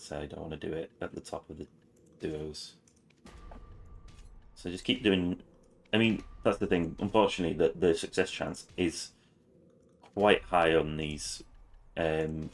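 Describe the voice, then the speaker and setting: A very low-pitched man talks through a game guide. His voice is raspy this morning, and he rambles with frequent ums. His delivery is casual and unhurried. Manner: rambling, many ums and restarts. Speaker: gaming channel host.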